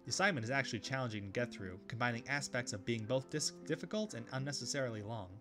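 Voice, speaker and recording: A man reading a visual novel aloud, with relaxed commentary and casual asides. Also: mid-range voice, fast, music in the background. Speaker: video game let's player.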